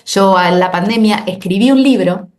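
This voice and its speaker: A woman's voice: medium pitch, moderate pace, a little mellow. A woman from Argentina with an Argentine Spanish accent, a doctor guest on a podcast, explaining earnestly and often repeating words as she talks. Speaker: obesity specialist doctor, guest. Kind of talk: conversational podcast interview.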